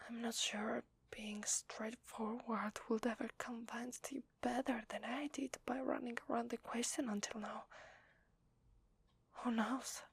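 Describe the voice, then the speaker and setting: Weary female vampire, acted by a woman, Italian accent, hesitant and confiding; close, dry ASMR whisper with some gravelly fry. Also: on the high side, dry recording, full character voice. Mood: pleading, apologetic. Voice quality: breathy whisper. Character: weary, desperate, apologetic young-sounding female vampire.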